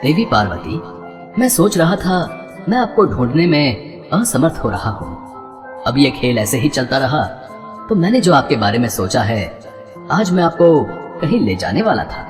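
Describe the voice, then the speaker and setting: A man's voice: medium pitch, very animated, moderate pace, soft background music, natural voice. A man voicing a good-natured, guileless god and fond husband in a devotional story, with formal, playfully bemused delivery. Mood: scheming, sly. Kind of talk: animated devotional story dialogue.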